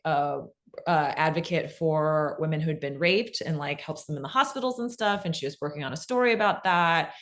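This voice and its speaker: A woman giving a casual, conversational workshop talk on a video call, emphatic and often checking in with her listeners. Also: low voice. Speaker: podcast pitching workshop presenter.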